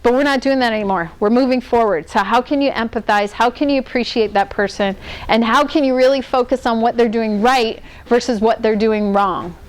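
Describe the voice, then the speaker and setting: A woman running a management training workshop, talking in a lively way, asking the audience questions and teasing them by name. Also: on the high side, fairly quick. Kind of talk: interactive management training talk. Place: training room.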